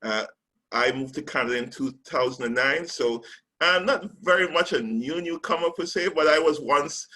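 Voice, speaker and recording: Bright-toned man presenting a webinar. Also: a little high. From Trinidad and Tobago. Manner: measured, with frequent ums and slide cues. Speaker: recruitment specialist presenting a webinar.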